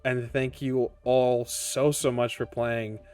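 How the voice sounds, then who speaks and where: A man speaking as the game master and host of a tabletop roleplaying session, choosing his phrases in a measured way. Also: medium pitch, little pitch movement, a little mellow, smooth.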